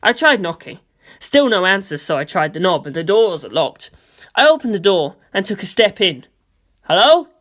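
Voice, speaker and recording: A narrator reading a first-person story aloud as an audiobook, in an expressive, conversational and dramatic way. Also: medium pitch, moderate pace. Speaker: audiobook reader.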